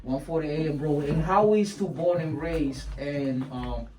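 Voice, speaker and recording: A man's voice: a little high, a little slow, a little mellow, far from the mic. A man hosting a casual interview in a laid-back way, stumbling over his questions. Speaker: interview host. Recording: echoey room, off-mic.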